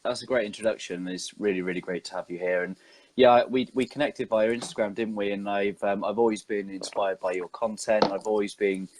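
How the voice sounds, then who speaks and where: A man's voice: lowish voice, fairly even intonation, fairly quick, darkish tone, slight background noise, rough recording. A man hosting an interview, talking casually and asking his guest questions. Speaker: interview podcast host.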